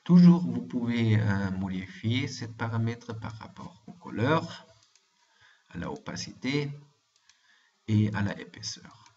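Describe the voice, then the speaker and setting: A man with a German accent speaking French, walking through a software tutorial with frequent hesitant fillers. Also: mid-range voice, animated, slow. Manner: hesitant, frequent "euh" fillers, careful explaining. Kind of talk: screen-recorded software walkthrough. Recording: muffled lo-fi voice-over with faint hiss.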